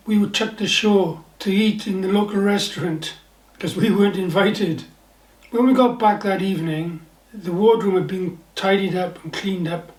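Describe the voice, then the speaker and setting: Older Welsh man, higher voice, wry anecdotes. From South Wales Valleys. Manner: relaxed, wry anecdotal telling. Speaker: author. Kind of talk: personal storytelling to camera. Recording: echoey room.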